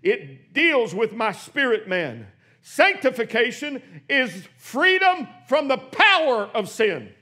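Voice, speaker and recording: A man in his sixties preaching a sermon in a church, swinging from hushed, quick asides to shouted exclamations. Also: very high-pitched, sing-song. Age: 60s. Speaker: pastor. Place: church sanctuary.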